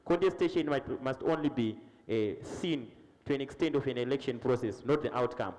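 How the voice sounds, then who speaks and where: Male panelist, rapid and insistent, studio reverb. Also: medium pitch, a little mellow. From Zimbabwe. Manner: insistent, piles up points with restarts. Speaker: party politician on a panel. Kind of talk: impassioned political argument.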